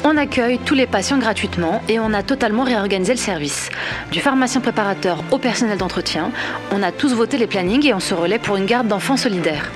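A woman's voice: medium pitch, animated, quick pace, over music, lightly acted. A woman playing a determined young trainee nurse and activist, answering in a mock radio interview.

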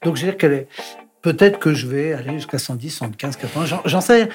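A man's voice: on the high side, lively intonation, a little mellow, soft background music. Frank reflective man in his sixties. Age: 60s. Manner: reflective, frank, trailing off into pauses. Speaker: interview guest, artist. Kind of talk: candid conversational interview.

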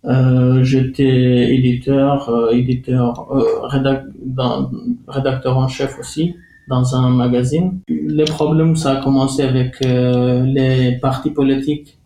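A middle-aged man with a Kurdish accent, a former journalist, speaks in an interview with halting pauses and many restarts. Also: medium pitch, flat delivery, warm tone, reverberant, rough recording. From Iraqi Kurdistan. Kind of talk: testimony interview.

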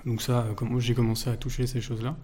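A man's voice: mid-range voice, level tone, fast talker, darkish tone, a little hiss or hum. A man in his twenties, a guest in a casual interview, talking with many fillers and restarts. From France. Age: 20s.

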